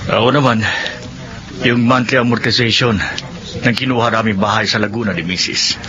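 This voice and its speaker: An actor playing a glum, worried family man and laborer in a radio drama, speaking gravely. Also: medium pitch, fairly even intonation, moderate pace, over music, close to an everyday voice. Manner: grave, downcast. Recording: dull, noisy radio broadcast.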